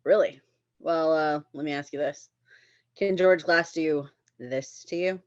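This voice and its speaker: A woman's voice: low voice, unhurried, dry recording, muffled recording, voice pushed down. A woman playing a smug, evasive boyfriend in a comedy table read, patronizing and falsely considerate. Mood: challenging.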